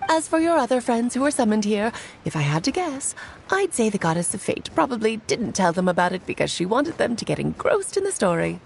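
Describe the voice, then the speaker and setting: Elegant game witch: fairly high, lively melodic delivery, playful and knowing. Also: fairly quick, creaky, slight background noise. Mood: teasing. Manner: teasing, poised, theatrical. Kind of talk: video game character acting.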